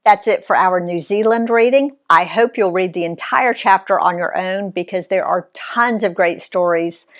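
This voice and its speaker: A woman reading her own travel story aloud in an expressive read-aloud style, giving the quoted people voices of their own. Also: on the low side. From Alabama, USA. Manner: unhurried, lively reading that voices the quoted characters.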